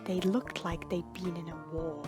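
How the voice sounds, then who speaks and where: A woman storyteller reading scripted fiction dramatically, with suspenseful, breathy phrase endings. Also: fairly low pitch, level tone, measured pace, over music.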